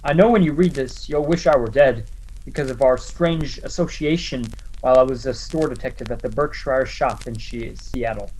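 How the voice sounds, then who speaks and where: A man playing a coolly taunting blackmailer in a radio play, voicing a threatening letter in a deliberate manner. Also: mid-range voice, darkish tone, slight background noise, natural voice, rough recording. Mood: cool menace. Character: menacing male blackmailer, coolly taunting former store detective. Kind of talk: radio play acting, letter read in character.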